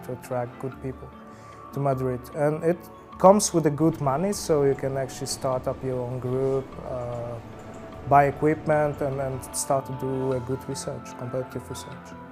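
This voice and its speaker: A man with a Polish accent giving halting interview answers about his research, with many restarts and fillers. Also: mid-range voice. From Poland. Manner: halting, with repeated starts and fillers. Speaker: research group leader.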